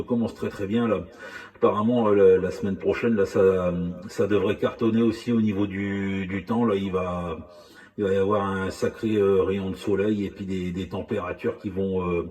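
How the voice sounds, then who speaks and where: Man, low pitch, amateur radio operator, casual chat, halting delivery, repeated words. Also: warm tone.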